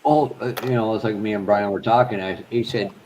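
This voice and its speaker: A man from New Hampshire trading stories in a casual group chat, talking quickly and playfully. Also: on the low side, slight background noise, poor audio. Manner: animated storytelling, quick and playful.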